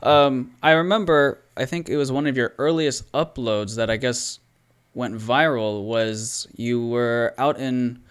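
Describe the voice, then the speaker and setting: A man's voice: medium pitch, a little slow, clear, resonant tone. A man hosting an interview, asking long, chatty questions and encouraging his guest. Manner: friendly, affirming, chatty questions. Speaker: podcast host, interviewer. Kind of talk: casual interview.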